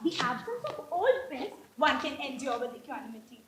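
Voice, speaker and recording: Woman playing a very high, girlish young lady. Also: sing-song, fairly quick. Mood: wistful. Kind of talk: amateur stage comedy acting. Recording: stage recording with faint noise.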